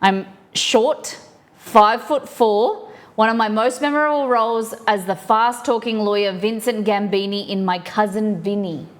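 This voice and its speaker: Casting: woman, live-stream host, chatty, casual, reads trivia aloud, mid-pitched voice. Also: steady tone, measured pace.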